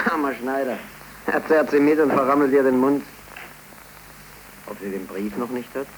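A crackly old radio recording carries an actor playing a bitter young man out of work. His mid-pitched voice is slow and deliberate, with a sardonic, mocking edge. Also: close to an everyday voice. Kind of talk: 1930s radio play acting.

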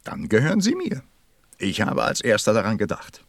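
Gravelly, pompous, busy businessman. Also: fairly low pitch, wildly swooping pitch, moderate pace, dry recording, exaggerated voice. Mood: self-important. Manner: pompous, testy, muttering, swooping. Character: busy, self-important 54-year-old businessman counting stars. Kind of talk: radio play acting.

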